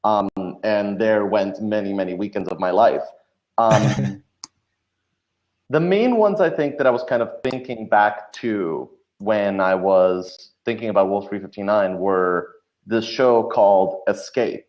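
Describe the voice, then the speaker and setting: A man's voice: fairly low pitch, slow. A man chatting in an interview as a guest drama writer, explaining and telling stories in a reflective way. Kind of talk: casual interview chat. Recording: dull lo-fi audio.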